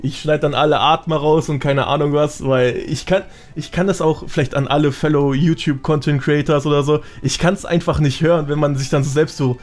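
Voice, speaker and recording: A man chats as a guest on a gaming podcast. His voice sits slightly high for a man, and his speech is colloquial and full of slang. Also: steady tone, a little fast, hardly any pauses. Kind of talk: casual podcast chat.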